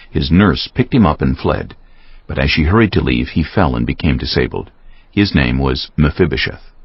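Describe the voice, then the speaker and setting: Super deep gravelly guy narrating the bible, lively intonation, measured and formal. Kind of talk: scripted scripture narration. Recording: slightly dull audio, faint music.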